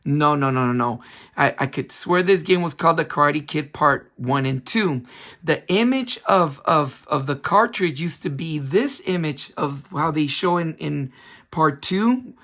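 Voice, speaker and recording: Hoarse, chatty man reviewing games. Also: a little high, expressive, unhurried, fairly bright tone. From San Francisco Bay Area. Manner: chatty, many ums, restarts himself. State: hoarse, recovering voice after a cold. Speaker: retro game collector channel host. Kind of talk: casual video game review.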